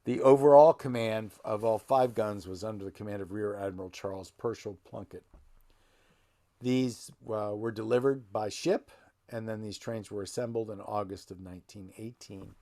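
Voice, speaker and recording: Gravelly-voiced man hosting a history talk. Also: lowish voice, normal speed, mellow. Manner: rambling, self-correcting, folksy. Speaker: genealogy channel host. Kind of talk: casual explanatory talk. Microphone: desk microphone close to him.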